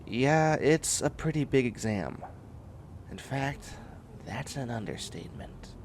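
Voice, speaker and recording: A man's voice: mid-range voice, animated, slow pace, darkish tone, slight background noise. A man playing a tired, prickly, bookish biotech student in a sci-fi comedy audio roleplay, with a wry, fussy, rambling delivery. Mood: weary, wry. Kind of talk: scripted comedic audio roleplay, one side of a conversation.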